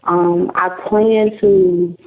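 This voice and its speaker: A woman's voice: lowish voice, steady tone, extremely slow. Chatty young woman guest. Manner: chatty, many fillers like um and you know. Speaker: guest, radio host and student. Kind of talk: casual interview answers. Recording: dull lo-fi sound.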